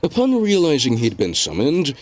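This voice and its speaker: A man reading scripted story narration in a measured, deliberate storytelling delivery. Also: on the high side, wildly swooping pitch, darkish tone. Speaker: narrator of a web novel fandub.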